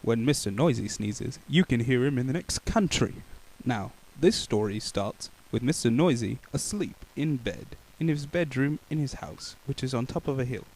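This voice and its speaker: A man reading a children's picture book aloud in a playful, expressive way. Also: mid-range voice, normal speed, poor audio. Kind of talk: animated storybook read-aloud.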